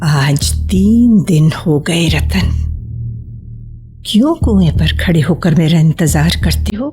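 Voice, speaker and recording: An actress playing a troubled, guilt-torn woman in a radio play, halting and repeating phrases as she resists forbidden love. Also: lowish voice, expressive, warm tone. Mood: torn pleading. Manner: halting, repeats phrases, drops to anguished whispers. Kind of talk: Hindi radio play acting. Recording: music under a radio play.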